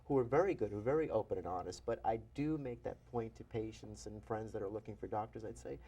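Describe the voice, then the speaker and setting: A man in his forties from New York, a physician and author, talking in an animated, self-deprecating way as an interview guest. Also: fairly low pitch, fast, slightly rough. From New York, USA. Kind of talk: interview conversation.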